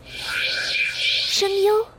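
A woman playing a timid, dejected young girl in a radio drama, soft and hesitant over music. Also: very slow. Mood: timid, apologetic. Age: young adult. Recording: guitar music behind the voice.

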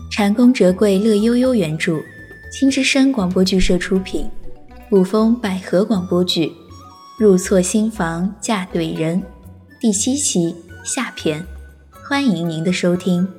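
A woman's voice: mid-range voice, measured pace, darkish tone, faint music behind. A woman announcing an audio drama in a scripted style.

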